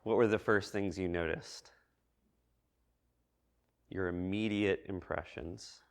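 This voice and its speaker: Guy preaching at church, lowish voice, thoughtful and measured, pauses a lot. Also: fairly even intonation, gravelly. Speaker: preacher giving a church message. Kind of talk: reflective sermon addressed to a congregation.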